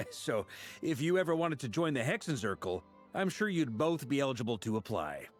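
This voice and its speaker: A man's voice: mid-range voice, very animated, moderate pace, faint music behind, natural voice. A man voicing a genial, self-assured knight in a video game, talking casually and with a bantering manner. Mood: amused. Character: easygoing, genial grown male knight, joking and self-assured.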